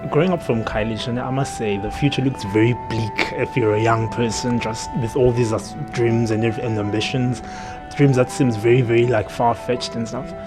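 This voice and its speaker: A young man from South Africa with a mid-pitched voice tells his story in an earnest, candid way. Faint music sits in the background. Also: steady tone, normal speed. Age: young adult. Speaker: medical graduate. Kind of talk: personal narrative interview.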